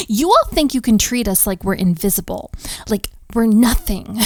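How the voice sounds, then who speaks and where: A woman playing a flustered, overworked, sharp-tongued hotel maid in a romantic roleplay audio drama, with a sarcastic, exasperated, sighing delivery. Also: fairly high pitch, dry recording. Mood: bitter, scornful.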